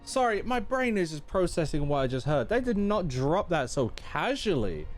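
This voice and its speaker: A young man giving casual, slangy live commentary as a game streamer, in an animated way. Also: on the high side, moderate pace, faint music behind.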